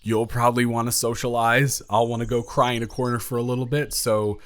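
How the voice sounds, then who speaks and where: A man's voice: medium pitch, flat delivery, a little fast, a bit bright, clean tone, natural voice. A young man playing an awkward, smitten, introverted college dormmate in a romantic audio roleplay, rambling and stammering in a flustered way. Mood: reluctant, anxious. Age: young adult. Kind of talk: intimate romantic audio roleplay, conversational acting.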